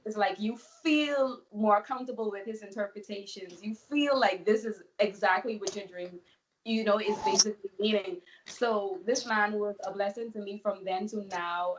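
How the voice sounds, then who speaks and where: Bahamian woman, medium voice, chatty testimony with lots of "you know", bit of faint noise. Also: mid-range voice. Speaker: guest giving a testimony. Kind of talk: casual testimony.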